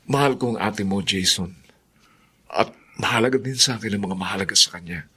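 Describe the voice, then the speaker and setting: An actor playing a devoted, excitable male suitor with eager, emotive delivery in a Filipino radio drama. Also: fairly low pitch, fairly quick, husky. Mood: fond, sincere. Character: devoted, excitable suitor, kindly big-brother type.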